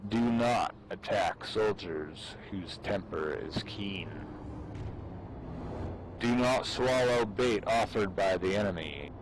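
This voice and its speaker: A man giving a solemn, deliberate dramatic reading of a military treatise, with pauses between phrases. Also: lowish voice, noisy background. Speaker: amateur reader performing for fellow gamers.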